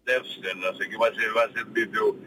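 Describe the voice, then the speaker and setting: A man's voice: lowish voice, fairly even intonation, fast, bright, forceful tone, slight background noise, rough recording. A man from Portugal with a European Portuguese accent, a guest chatting casually on a radio show and reading event listings with hesitations. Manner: hesitant, reads from a poster.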